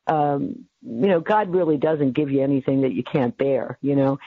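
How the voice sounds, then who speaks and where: A woman in her seventies with a low, bassy, husky voice, rambling through her answers with plenty of fillers and easy laughs. She comes through a tinny, phone-like line that sounds dry and close. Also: normal speed, dry recording. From New York City. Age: 70s. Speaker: guest, radio network founder. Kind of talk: casual interview over the phone.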